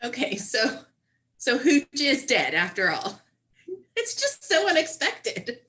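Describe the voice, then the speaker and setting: A woman's voice: sits high, huge pitch swings, slow, pushed and bright. A woman giving sassy, sardonic comic commentary in a radio play. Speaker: comedy troupe performer. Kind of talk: sassy comedic commentary on a film.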